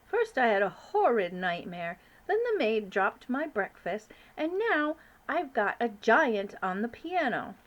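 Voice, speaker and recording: Woman doing a high imperious queen, crisp and bossy. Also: sing-song, unhurried, hardly any pauses, highs cut off. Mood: commanding. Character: commanding, brisk woman monarch.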